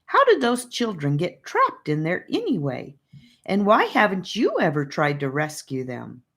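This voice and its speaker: An eager young boy from a storybook, read by a woman. He is stubborn and earnest, asking things bluntly at an unhurried pace with lively intonation. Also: lowish voice, full character voice. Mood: puzzled.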